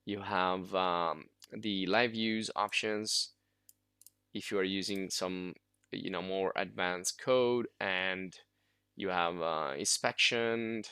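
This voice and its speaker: A man whose first language is Italian walks through a software tutorial in a loose, informal way, full of fillers and restarts. His voice sits fairly low yet sounds bright and forward. The recording is dry and close, with no room around it. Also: steady tone, slow, clear, resonant tone, no room sound. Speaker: software instructor.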